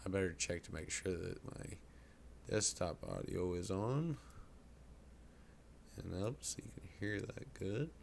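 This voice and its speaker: A man with a low voice talks through a software tutorial in a casual, folksy way. He sounds like an informal presenter at his computer, with some noise behind him. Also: animated, measured pace, long pauses. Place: indoors at a computer. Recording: clear background noise.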